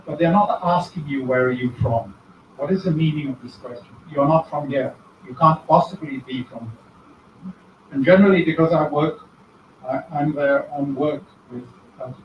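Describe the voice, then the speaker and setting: A man's voice: on the high side, a little hiss or hum. Man lecturing in an echoey hall. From former British colony. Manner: reflective, ironic, many pauses and restarts. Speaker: guest lecturer. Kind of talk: academic talk. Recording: echoey hall, distant mic, dull.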